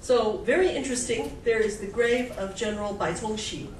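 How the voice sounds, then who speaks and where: A woman's voice: on the high side, normal speed, a little mellow. A woman giving an informal, explanatory public lecture, often checking in with her listeners. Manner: explanatory, checks in with frequent "okay?" and "right?". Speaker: guest lecturer, anthropologist. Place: indoor meeting room with audience. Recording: echoey room, distant mic, background noise.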